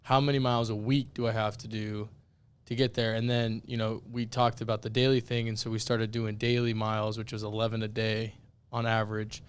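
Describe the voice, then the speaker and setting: A young man with a raspy, worn-out voice co-hosts a laid-back podcast. His tone stays even and flat. Under the rasp, the sound is still smooth and clear. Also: fairly low pitch, a little fast. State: voice worn out from yelling. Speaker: podcast co-host. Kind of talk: casual podcast chat.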